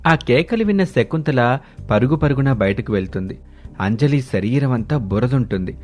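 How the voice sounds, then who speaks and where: A man's voice: mid-range voice, animated, normal speed. A man narrating a scripted story as its narrator. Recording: music bed under the voice.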